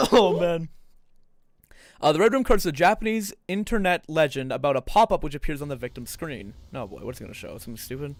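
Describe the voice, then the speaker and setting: Excitable young man streaming, swooping voice. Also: fairly high pitch, a little fast, dry recording. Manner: excitable, theatrical, jokey. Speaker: livestream host. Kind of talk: livestream reaction, reading aloud and joking.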